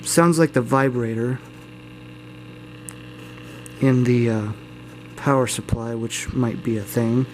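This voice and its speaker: A man's voice: mid-range voice, slow pace, long pauses, rough recording. Casual man's voice over electrical hum. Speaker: hobbyist video host. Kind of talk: casual hands-on demonstration to camera. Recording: steady mains hum and room noise. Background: electrical hum and faint static.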